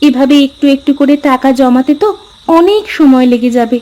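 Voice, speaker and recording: A woman's voice: high-pitched, brisk, warm tone, close to an everyday voice. A woman voicing a kind, hopeful young village wife in a cartoon fairy tale, sweet and earnest. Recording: music behind the voice. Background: clear background music.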